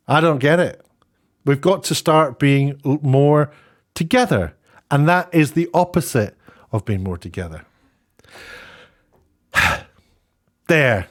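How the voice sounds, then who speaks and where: A middle-aged man giving an opinionated vlog rant, informal and emphatic, thinking aloud in stop-start phrases. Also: medium pitch. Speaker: YouTube commentator and host.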